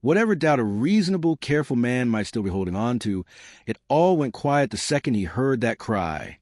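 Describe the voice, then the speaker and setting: A man's voice: mid-range voice, sing-song, normal speed, darkish tone, no echo, clean studio sound. A man narrating a scripted dramatic story as a suspenseful storyteller who speaks straight to the listener. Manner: suspenseful, addressing listeners directly.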